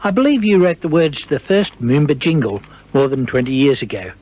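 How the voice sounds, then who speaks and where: Male radio interviewer, animated and raspy, scripted questions, recorded on muffled archival tape. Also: fairly high pitch, fairly quick.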